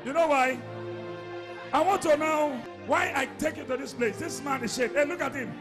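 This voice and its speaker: Shouting high-voiced male prophet. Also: normal speed, over music. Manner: shouting, commanding, repetitive. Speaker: pastor. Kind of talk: prophetic deliverance preaching.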